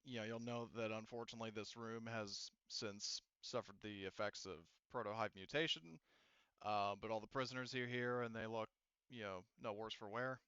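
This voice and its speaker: A man acting as game master, narrating a tabletop roleplaying game casually and haltingly, thinking aloud. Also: on the low side, steady tone, bright, forceful tone, smooth, no room sound, dull audio.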